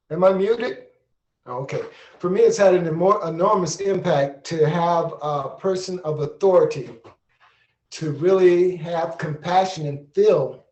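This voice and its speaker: A man giving earnest, unscripted reflections on a panel in deliberate phrases, recorded with an echoey room sound. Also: on the high side, a little mellow. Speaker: panel participant and storyteller.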